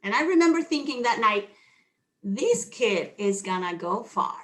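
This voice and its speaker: Argentine woman, host, intro speech, bright forceful tone, earnest with light nerves. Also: fairly high pitch, sing-song, measured pace. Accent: Argentine Spanish. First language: Spanish. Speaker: event host.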